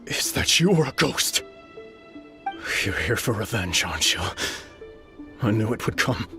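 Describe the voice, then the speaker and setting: A man's voice: on the low side, big pitch swings, unhurried, music in the background. An actor playing a broken male sword master full of guilt and anguish in a video game. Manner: anguished, self-blaming.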